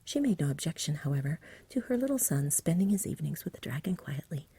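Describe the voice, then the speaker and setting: A woman softly reading a storybook in a hushed, breathy ASMR whisper with a gentle delivery. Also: low voice, sing-song, fast talker. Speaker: reader, ASMR host.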